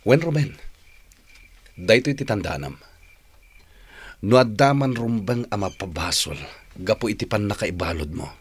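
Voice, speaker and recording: A deep, rough-edged older brother, earnest and emphatic. He sounds resolute and burdened by remorse in an Ilocano radio drama. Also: expressive, unhurried, pauses often.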